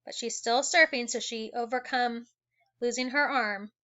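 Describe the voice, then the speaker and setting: A woman teacher reading an educational children's book aloud in an animated way, adding exclamations and wondering asides for young listeners. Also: fairly high pitch, normal speed, muffled recording.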